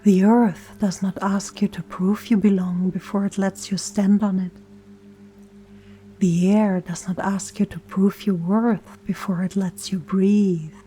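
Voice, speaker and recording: A woman leading a gentle scripted guided meditation in a soft, soothing, often breathy near-whisper, with deliberate pauses. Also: mid-range voice, level tone, slight background noise. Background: soft meditation music and singing bowl tones.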